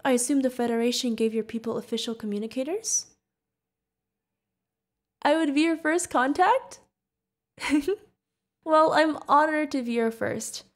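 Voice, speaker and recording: A woman's voice: sits high, unhurried, pauses often. A woman playing a sweet, curious, friendly feathered alien bartender in an ASMR roleplay, chatting directly to the listener. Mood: sweet, delighted.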